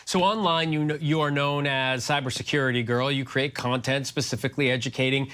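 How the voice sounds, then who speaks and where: Male TV anchor, average pitch, measured and formal, for breaking news and interviews. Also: steady tone, a bit bright, clean tone. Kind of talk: broadcast news report and interview.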